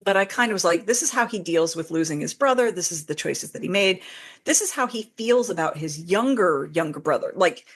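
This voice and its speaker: A woman with a slightly low voice speaks as a guest in a casual interview. She explains things in detail, doubling back and interrupting herself as she goes, in an informal, conversational way. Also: lively intonation, fairly quick, slightly rough. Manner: rambling, self-interrupting, informal. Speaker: interview guest, fan fiction author. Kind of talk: casual, detailed explanation of own writing process.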